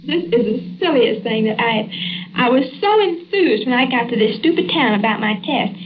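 A woman's voice: fairly high pitch, expressive, normal speed. A young woman from Texas with a Texan accent, insisting on where she is from in an unscripted conversation with a man who doubts her. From Texas, USA. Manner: indignant, insistent and defensive. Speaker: unwitting subject of a hidden-microphone prank. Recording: hidden mic, noisy old radio recording.

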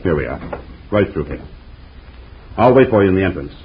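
A man voicing a brisk, matter-of-fact police escort in an old radio drama. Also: sits low, sing-song. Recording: noisy lo-fi old radio recording.

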